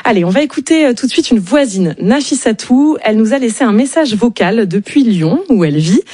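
A woman hosting a radio show, introducing guests and topics in a clear, measured presenter's way. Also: fairly high pitch, lively intonation, warm tone, dry recording, clean studio sound. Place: radio studio.

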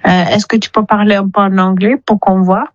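Woman from Senegal, gentle interviewer, muffled phone-message sound. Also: mid-range voice, steady tone, a little fast, dry recording. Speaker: mother and caller. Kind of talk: interviewing her daughter at home. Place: home. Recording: dull lo-fi voice message, dry.

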